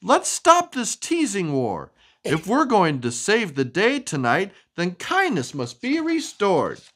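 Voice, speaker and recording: A woman voicing excitable, earnest witch townsfolk from a children's storybook. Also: medium pitch, takes it slowly, voice pushed up, exaggerated voice. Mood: earnest resolve.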